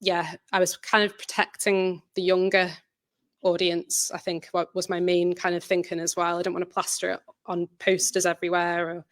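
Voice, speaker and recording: A woman's voice: lowish voice, monotone, moderate pace, no room sound. A woman speaking as a writer at an informal question session, giving hesitant, reflective answers full of qualifications. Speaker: audio drama writer and presenter. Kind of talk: informal presentation Q&A, conversational answers.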